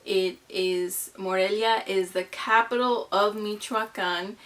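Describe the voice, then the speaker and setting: A woman's voice: medium pitch, fairly even intonation, slow, a bit bright. A woman in her twenties from Mexico, chatting casually and gushing in a vlog, full of "like" and "you know". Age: 20s. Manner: bubbly and gushing, lots of fillers like "you know" and "like". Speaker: vlogger.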